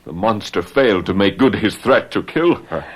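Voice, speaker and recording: An actor playing a distraught young French gendarme in a radio mystery drama, stammering and agitated in a melodramatic way. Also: male voice, lowish voice, animated, normal speed. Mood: relieved, anxious. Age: young adult.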